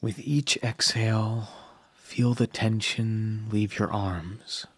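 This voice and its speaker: A man narrating a scripted guided meditation in a calm, soothing manner with long pauses. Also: fairly low pitch, husky, dry recording.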